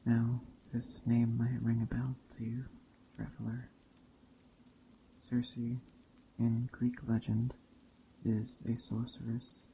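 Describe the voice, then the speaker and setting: A man speaking softly and unhurriedly with long pauses, in an ASMR roleplay as a gentle, hospitable witch. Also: mid-range voice, completely flat delivery, vocal fry, dull audio, background noise. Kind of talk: soft-spoken ASMR roleplay narration. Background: rain and forest ambience.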